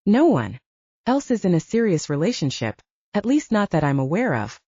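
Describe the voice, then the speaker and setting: A woman narrating an audiobook and voicing a calm, cautious husband and father who reasons things through in a measured way. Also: fairly low pitch, slightly rough, no echo, dull audio. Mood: reasoning, hesitant.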